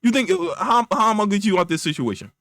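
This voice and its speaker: A man's voice: sits high, animated, fairly bright tone, no room sound, clean studio sound. A man voicing an exasperated young man who refuses to help his friend, blunt, slangy and profane, in a comic story. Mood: exasperated, loud. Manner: blunt, slangy, profane, rapid rhetorical questions.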